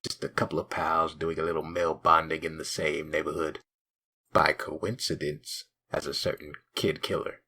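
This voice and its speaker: A man playing a dry, polite, scheming police sidekick in a comic dub, with a deep raspy voice and formal, measured, deadpan delivery. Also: full character voice. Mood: sly.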